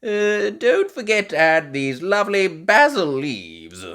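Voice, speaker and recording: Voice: mid-range voice, very animated, slow pace, a bit bright, pitched above the performer's own voice, exaggerated voice. The narrator voicing a fussy, proud old grandfather pig in a children's storybook, speaking emphatically and defensively.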